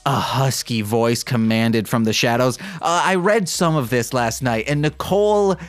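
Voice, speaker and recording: A man's voice: medium pitch, measured pace, no room sound. A man hosting a comedic podcast, reading fan fiction in a mock-sultry way and breaking off into self-mocking asides. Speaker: podcast host.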